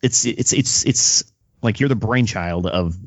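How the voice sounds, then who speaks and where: Dry, low-voiced male podcast interviewer. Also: unhurried, slightly rough, no room sound, lo-fi sound. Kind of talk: conversational interview, offering opinions.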